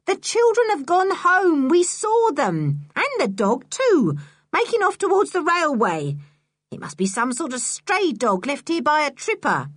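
Woman doing a shrill bossy schemer. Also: huge pitch swings, unhurried, dry recording, clean studio sound, exaggerated voice. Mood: insistent. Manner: loud, sharp, demanding.

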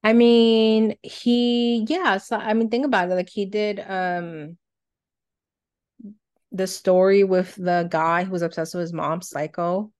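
A woman's voice: mid-range voice, level tone, takes it slowly, darkish tone, clean tone. A woman co-hosting a podcast in casual conversation, speaking informally and thinking aloud as she goes. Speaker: podcast co-host.

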